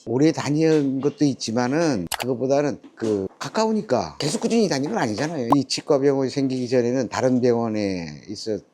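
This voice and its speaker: A man, a long-time dental patient, giving a polite, measured interview testimonial with formal endings. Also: medium pitch, expressive, noisy background.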